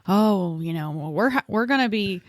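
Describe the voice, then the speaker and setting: A woman's voice: mid-range voice, expressive, moderate pace, no room sound. Woman, mid voice, chatty panel regular, dry close sound. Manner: chatty, laughs often, quotes others. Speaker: podcast co-host. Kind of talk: casual chat.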